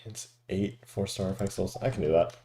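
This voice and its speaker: Male gaming streamer, low and very dark-toned, casual thinking-aloud commentary over game music. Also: fairly quick, a little hiss or hum.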